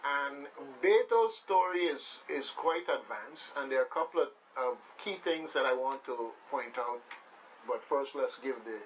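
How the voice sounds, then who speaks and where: A man's voice: mid-range voice, measured pace. A man hosting a radio talk show in a casual, rambling, emphatic way, often repeating phrases. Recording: slightly distant mic with faint noise.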